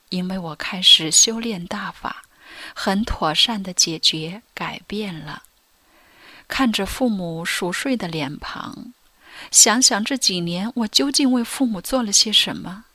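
A young woman narrating a radio drama in first person, soft and confessional, often near a whisper. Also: medium pitch, no room sound. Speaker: narrator and lead. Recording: dry studio voice with faint music.

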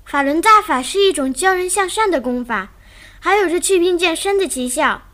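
A woman's voice: very high voice, animated, normal speed, pushed and bright, full character voice. A woman voicing an innocent, earnest little girl in a radio drama, explaining eagerly.

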